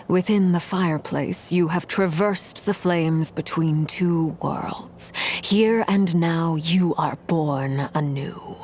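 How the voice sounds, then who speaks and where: An actress playing a brooding young woman with a dark power, telling her history in a measured, grave, dramatic video game style. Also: low voice, slight background noise. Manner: measured, grave, expository storytelling. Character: brooding young woman, harbinger wielding a corrupting blood flame.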